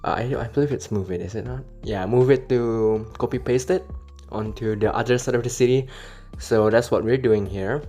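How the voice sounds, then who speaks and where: A young Indonesian man with an Indonesian accent, giving relaxed, rambling commentary over city-building gameplay, full of fillers and self-corrections. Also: medium pitch, normal speed. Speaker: city-builder video creator. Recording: voice-over with faint background music.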